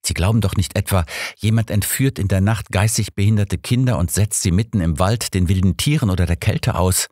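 A man's voice: low voice, brisk, darkish tone, dry recording, studio quality, pitched above the performer's own voice. A man voicing an older, earnest physician companion in an audiobook, formal and learned. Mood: troubled. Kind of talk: audiobook character dialogue.

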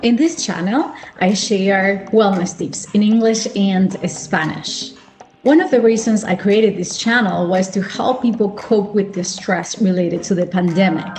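Measured woman hosting over music. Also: mid-range voice, a little mellow, rough recording. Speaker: host, clinical psychologist. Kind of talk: scripted introduction and interviewing. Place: small indoor home office, video call.

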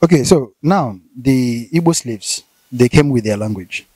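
Mellow Nigerian male interviewer. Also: medium pitch, animated, a little slow, breathy edge, no room sound. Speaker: interviewer, host. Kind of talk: conversational interview. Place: outdoors, seated by corrugated metal fence. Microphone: handheld interview mic.

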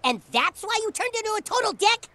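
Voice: extremely high pitch, big pitch swings, fairly quick, bright, forceful tone, no echo, heavily acted. A voice actor playing an earnest cartoon boy who confronts a friend, over game music. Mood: accusing. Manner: accusing, earnest.

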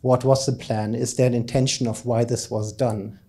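A man's voice: medium pitch, little pitch movement, normal speed, warm tone. A middle-aged man giving a keynote talk in an informal, explanatory manner, speaking into a handheld microphone in a conference room. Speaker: keynote speaker, academic researcher. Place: conference lecture room, indoors, at a lectern.

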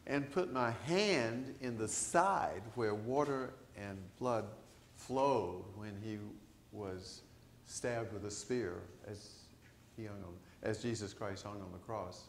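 An older man, a priest, preaching a conversational sermon to his congregation, animated and asking them questions. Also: lowish voice, takes it slowly, a little hiss or hum. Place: large church sanctuary. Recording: echoey church sanctuary.

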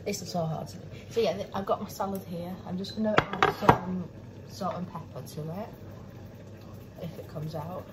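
A woman's voice: on the low side, fairly even intonation, normal speed, heavy background noise. A young woman vlogging as she cooks in her home kitchen, chatting casually with lots of fillers and restarts. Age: young adult. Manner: chatty, rambling, many fillers and self-corrections. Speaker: cooking vlogger. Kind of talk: casual cook-along chat. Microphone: phone camera propped close, moving around.

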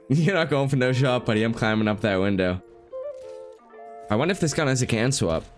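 A man's voice: mid-range voice, animated, brisk, faint music behind. A young man in his twenties with a stuffed-up, nasal voice from a cold, chatting upbeat over gameplay as a gaming YouTuber. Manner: upbeat, hyped, chatty with friend. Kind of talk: casual gameplay commentary. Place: small room at home.

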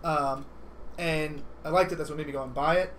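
A man chatting casually as a guest on a board game stream, informal and halting. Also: a little high, fairly even intonation, a bit bright, a little hiss or hum. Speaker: livestream guest.

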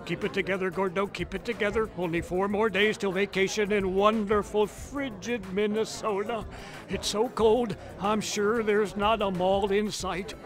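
Man playing a high-voiced pompous cartoon doorman. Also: fairly even intonation, normal speed, faint music behind, lightly acted. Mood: muttering, weary. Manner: officious, weary. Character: pompous, put-upon big male store doorman. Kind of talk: animated cartoon acting.

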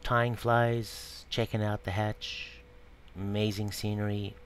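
A man's voice: on the low side, little pitch movement, takes it slowly. A man narrating a fishing vlog in short, clipped, informal phrases with a promotional bent. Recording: loud outdoor water and boat noise.

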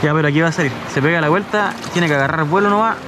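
A man with a Chilean accent chatting casually and excitedly as he fishes outdoors, using slang and repeating short phrases. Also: fairly high pitch, rapid-fire, background noise. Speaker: fishing vlogger. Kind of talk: casual vlog chat while fishing. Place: outdoors on a rocky seashore. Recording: loud music behind the outdoor voice. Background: loud music.

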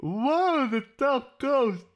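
A man's voice: very high-pitched, animated, drawn-out words. A British man in his twenties chatting casually on a livestream, close to a desk microphone in a room. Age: 20s. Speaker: live streamer making prank calls. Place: small indoor room.

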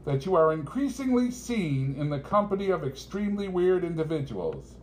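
A man's voice: fairly high pitch, big pitch swings, moderate pace, a little hiss or hum, pitched above the performer's own voice. A man voicing a pompous, disapproving family lawyer who intones formally in an audiobook. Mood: stiff disapproval.

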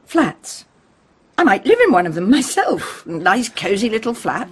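A woman's voice: medium pitch, big pitch swings, measured pace, slight background noise. An actress playing a genteel, haughty older mother in a radio drama, airy, gushing and condescending. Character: Mrs Conway, genteel, impractical, haughty older widowed mother.

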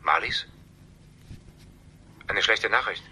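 An actor playing an evasive, defensive family friend in a German radio crime drama, talking in measured phrases with deliberate pauses. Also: male voice, lowish voice, pushed and bright, breathy edge, full character voice.

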